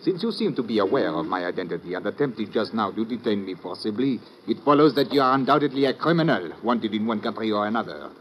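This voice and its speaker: Excitable French inspector, played by a man, wide swings in pitch, stiffly formal, faintly noisy vintage radio thriller. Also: quick pace, hardly any pauses, highs cut off, close to an everyday voice. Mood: stern, formal. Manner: formal, precise, theatrical. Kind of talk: 1950s radio drama acting. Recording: noisy old radio recording.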